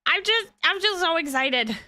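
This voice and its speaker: A woman hosting a game livestream, chatting casually with viewers in an expressive, excitable way and often repeating phrases for emphasis. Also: very high voice, moderate pace, no echo, faint music behind. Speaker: game streamer and host.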